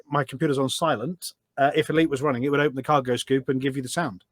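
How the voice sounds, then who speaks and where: A man speaks as an interview guest in a dry, close recording. His pitch is average and his delivery is keen and explanatory, walking through points step by step and often framing them as questions. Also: fairly even intonation, fast, no room sound. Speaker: podcast guest, voice pack developer. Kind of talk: enthusiastic explanatory interview chat.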